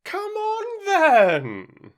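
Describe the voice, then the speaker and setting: A storyteller voicing a furious, imperious queen in a shrill, loud voice, severe and impatient, barking out commands. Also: very animated, extremely slow, no echo, studio quality, exaggerated voice. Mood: shouting, imperious.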